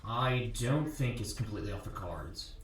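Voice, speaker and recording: A man playing a guarded, hurt 24-year-old college athlete in an audio drama interview, speaking casually and haltingly. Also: on the low side, animated, close to an everyday voice. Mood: hesitant, guarded. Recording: very echoey, distant from the microphone.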